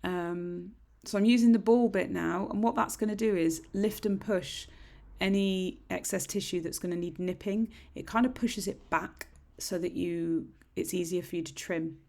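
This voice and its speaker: A middle-aged woman with a husky, slightly croaky voice, chatting casually through a nail tutorial in a rambling, self-deprecating way. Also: on the low side, fairly even intonation, moderate pace, darkish tone. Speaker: nail technician. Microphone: desk microphone.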